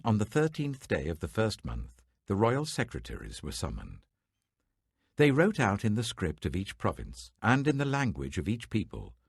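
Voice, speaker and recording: A man reads scripture with a very low, husky voice that swings widely in pitch. His delivery is measured and dramatic, and the sound is dry and close. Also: no room sound. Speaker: Bible reader.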